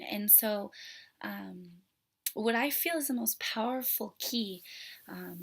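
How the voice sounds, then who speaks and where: A woman parenting instructor, hoarse from a sore throat, talking earnestly to camera with many pauses and fillers. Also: mid-range voice, a bit bright. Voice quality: raspy. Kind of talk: casual talk to camera.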